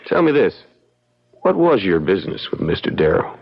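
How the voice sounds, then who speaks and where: An actor playing a calm young frontier lawyer, measured, folksy and probing, in an old-time radio drama. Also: male voice, low voice, gravelly, slightly rough, full character voice. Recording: dull lo-fi radio drama recording.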